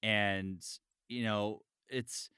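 Bright-voiced man talking earnestly. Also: lowish voice, fairly even intonation, drawn-out words, clean tone, no room sound, studio quality. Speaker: voice actor, podcast guest. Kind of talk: casual podcast chat.

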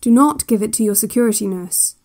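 A woman playing a calm, controlling relaxation tape instructor in an audio drama, measured and soothing with a quietly ominous air, giving guided instructions. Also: fairly high pitch. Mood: calm, instructing. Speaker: narrator of relaxation cassettes. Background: new-age music.

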